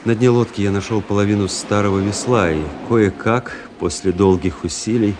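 A man's voice: on the low side, steady tone, moderate pace, background noise, natural voice. An actor playing an intense, introspective young officer who narrates his own adventure in a radio drama. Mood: reflective, measured. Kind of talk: dramatic first-person narration, radio play. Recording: radio play with music underneath. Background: music.

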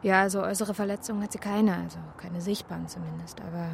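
A woman's voice: medium pitch, fairly even intonation, fast talker, creaky, slight background noise. A woman acting a frank female police investigator in a radio crime drama. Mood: matter-of-fact.

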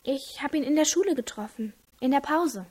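An actress playing an earnest teenage daughter, eager to explain, in a radio crime drama. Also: female voice, high voice, animated, normal speed, darkish tone.

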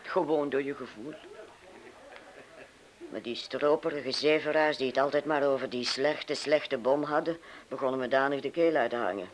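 A man's voice: medium pitch, normal speed, lightly acted, rough recording. A young man played by a male actor in a radio play, chatty and restless. He is disillusioned with everything, and he questions and cajoles as he talks. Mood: world-weary, scornful.

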